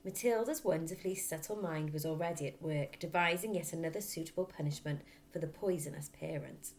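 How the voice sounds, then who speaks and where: A woman reading a children's story aloud as a teacher would, in an expressive narrating style. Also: deep voice, fairly quick.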